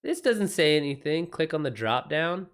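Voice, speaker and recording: A man's voice: on the high side, expressive, moderate pace, fairly bright tone, clean tone. A man hosting a livestream about writing, chatting casually and unscripted, thinking aloud with informal slang. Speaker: livestream host and author giving writing advice.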